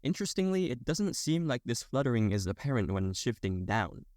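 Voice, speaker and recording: A man's voice: medium pitch, big pitch swings, brisk. A young adult man reading a scripted technical explainer as a narrator.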